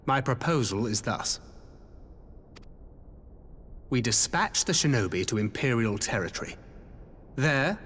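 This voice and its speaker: A man playing a composed, articulate strategist in a fantasy video game, speaking formally and with measured phrasing. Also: mid-range voice, a bit bright. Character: composed male strategist laying out covert war plans. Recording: long hall reverb, faint background noise.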